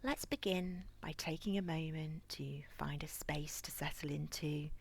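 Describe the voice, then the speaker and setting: A woman leading a guided body scan meditation in a soft, near-whispered, breathy voice with a calm, gentle, soothing manner. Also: low-pitched, a little slow. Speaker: guided relaxation instructor.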